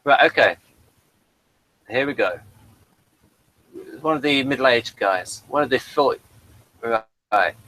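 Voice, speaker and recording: A man with a mid-pitched, rather sharp and bright voice chats casually as co-host of a fan review. His delivery is halting and hesitant, and he often repeats words as he goes. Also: expressive, slight background noise, rough recording. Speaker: podcast co-host reviewing a TV series.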